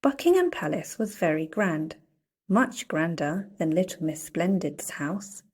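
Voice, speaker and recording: A woman reading a children's story aloud in a playful, deliberate storytelling manner. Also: fairly low pitch, lively intonation, a little mellow, breathy edge. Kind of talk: read-aloud storybook narration.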